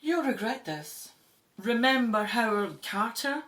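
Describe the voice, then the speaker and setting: A woman's voice: a little high, animated, slow, fairly bright tone. An actress playing a determined, diplomatic female Egyptologist in an audio drama. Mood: menacing.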